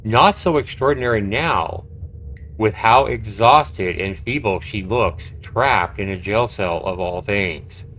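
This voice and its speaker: A man's voice: lowish voice, takes it slowly, fairly bright tone, a little hiss or hum, poor audio. A man live-streaming a game, reading its text aloud and adding casual, reactive commentary. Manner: reactive, conversational.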